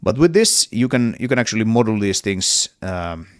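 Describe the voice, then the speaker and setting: A man's voice: fairly low pitch, sing-song, moderate pace, no echo, studio quality. A man with a cold and a partly lost voice, talking casually through his game level in a hesitant devlog with many fillers. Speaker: indie game developer.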